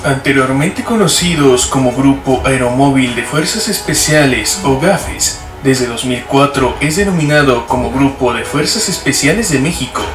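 A man's voice: mid-range voice, normal speed, few pauses. Grave male documentary narrator. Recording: roomy, distant, played back with music.

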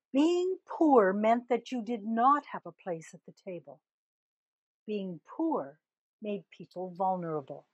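Slow, husky older woman preaching. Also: mid-range voice, no echo. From Canada. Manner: slow, deliberate preaching with emphatic rises on key words. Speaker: minister. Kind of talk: sermon.

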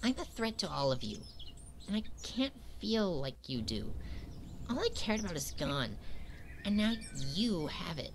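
A woman's voice: on the low side, sing-song, measured pace, background noise. A woman voicing a bitter, soulless talking flower in a comic dub, scornful and dramatic, swinging from sullen to menacing.

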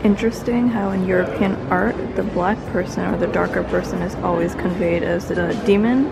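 A woman's voice: fairly low pitch, steady tone, moderate pace, gravelly, loud music behind the voice. A woman, chatting informally to camera as a vlogger. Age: 20s. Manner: chatty, informal, youthful phrasing. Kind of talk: casual vlog chat to camera.

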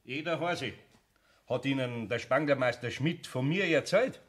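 Man playing a patient older bavarian carpenter, medium pitch, folksy, kids radio play. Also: moderate pace, slightly rough, close to an everyday voice. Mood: earnest. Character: good-natured older male master carpenter, patient. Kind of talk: German children's radio play acting.

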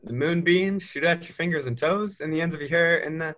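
A high school boy acts out a restless young American dreamer in a radio play. His voice is rather high and very bright, with an earnest, eager push to his words. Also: brisk, highs cut off, natural voice. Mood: excited, boastful. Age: teens. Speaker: high school drama student. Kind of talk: stage radio play acting.

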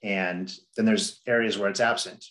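A middle-aged man presenting a planning study to a public meeting over a video call, measured and explanatory, with frequent "um" and "you know". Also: on the low side, steady tone, a bit bright. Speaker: district staff presenter.

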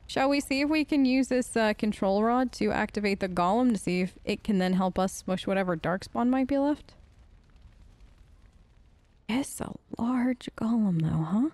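A woman's voice: medium pitch, normal speed, a little mellow, dry recording. A woman doing playful, chatty role-play commentary over a game, talking to the characters as she plays. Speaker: gamer playing in character.

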